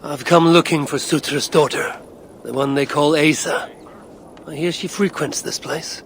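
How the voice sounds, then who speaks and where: An actor playing a stern Norse god and father in a video game, speaking in a measured, formal, resolute way. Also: on the high side, gravelly, slight background noise. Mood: firm, courteous. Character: stern Norse god father hunting for vengeance, one-eyed. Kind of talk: video game cutscene acting.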